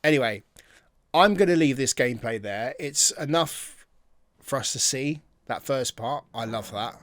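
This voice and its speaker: A man's voice: mid-range voice, animated, unhurried, fairly bright tone, no echo. A man hosting a gameplay video, thinking aloud in informal, casual commentary.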